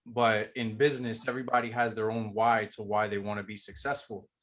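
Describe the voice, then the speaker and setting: A man joining a coaching call, talking in a measured, reflective way and often slowing down to make a point. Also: on the low side, flat delivery, a bit bright. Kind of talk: casual group discussion.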